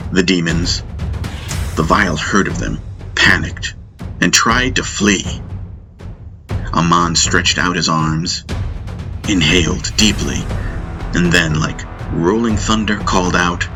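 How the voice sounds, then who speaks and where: A man reading scripted fantasy narration as an audiobook narrator, in a deliberate, theatrical way. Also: low-pitched. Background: music.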